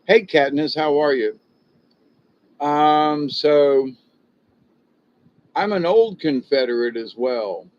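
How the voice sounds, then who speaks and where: A man's voice: on the high side, takes it slowly, long pauses. An older man, born in Ohio and raised in the South, speaks with a slight Southern drawl. He hosts a rambling, folksy livestream chat, chuckling at his own jokes and talking back to viewers. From born in Ohio, raised in Florida and South Georgia. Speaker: livestream host chatting with viewers. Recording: dull lo-fi audio.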